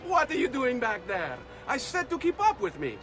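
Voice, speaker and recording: An actor voicing a sly, roguish Italian thief and guide with an Italian accent in a video game. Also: male voice, very high-pitched, huge pitch swings, moderate pace, over music, exaggerated voice. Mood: teasing. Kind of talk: video game character acting.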